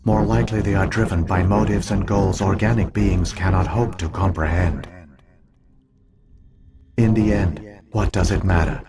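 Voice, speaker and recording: Video game role: ancient alien AI, low-pitched, solemn, measured, coldly logical. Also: male voice, fairly even intonation, vocal fry, background noise. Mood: grave, detached. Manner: solemn, measured, formal exposition. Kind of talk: scripted video game dialogue.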